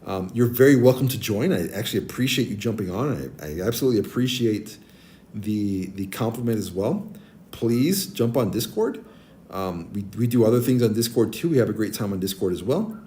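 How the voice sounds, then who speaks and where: A man in his forties with a naturally deep, mellow voice chats with his viewers and hands out friendly advice, pausing on ums and starting over. He talks into a tablet mic. Faint noise sits behind him. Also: lively intonation. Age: 40s. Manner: friendly, advice-giving, many ums and restarts. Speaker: streamer. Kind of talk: casual chat with stream viewers. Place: abroad, streaming on a tablet.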